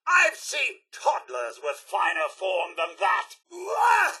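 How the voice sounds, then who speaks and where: A man voicing a fussy, gentlemanly robot butler with a posh British accent and an exclamatory manner, in video game voice lines. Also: high voice, wildly swooping pitch, takes it slowly, breathy edge, heavily acted. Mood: indignant, put-upon. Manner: posh, theatrical, polite, exclamatory. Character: eager, fussy, gentlemanly robot butler, male, itching to fight.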